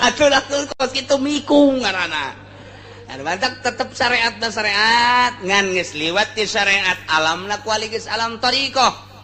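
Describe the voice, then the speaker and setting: High, bright-voiced Sundanese man preaching. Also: animated, normal speed, a little hiss or hum. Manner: colloquial and earthy, repeats phrases for emphasis. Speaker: Islamic preacher and teacher. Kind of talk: informal sermon with Arabic recitation.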